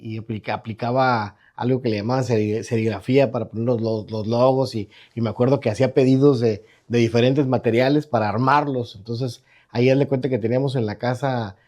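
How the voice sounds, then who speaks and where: Mexican man, mellow and rambling. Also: medium pitch. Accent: Mexican Spanish. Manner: rambling with many restarts and tag questions. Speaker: guest entrepreneur. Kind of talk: casual interview talk. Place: his own podcast studio.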